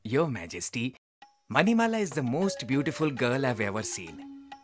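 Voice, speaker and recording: A persuasive, deferential royal matchmaker from a cartoon tale, voiced by a man. His medium-pitched voice rises and falls eagerly over faint music. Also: huge pitch swings, normal speed. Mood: eager, respectful. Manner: courtly, persuasive.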